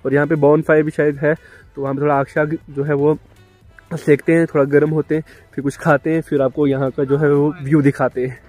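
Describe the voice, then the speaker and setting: A man's voice: fairly high pitch, flat delivery, fairly quick, a little mellow. A young man with a slightly raised voice talks to his phone at arm's length in casual vlog style. He is outdoors on a cold, rainy hillside. Faint music plays under his voice. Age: young adult. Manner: chatty, talks to camera while riding trip. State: feeling cold. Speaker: travel vlogger and biker. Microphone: handheld phone selfie.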